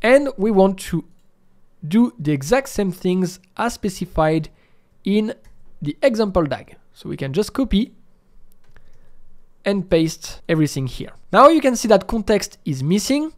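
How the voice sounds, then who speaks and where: High-voiced man with lively, swooping intonation. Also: measured pace. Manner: instructional, explaining each step. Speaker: programming tutorial presenter. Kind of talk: step-by-step screencast tutorial.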